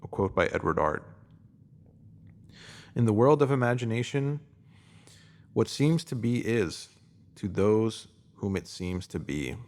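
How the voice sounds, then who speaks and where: Man, lowish voice, calm measured reading, reflective spiritual essay. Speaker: reader.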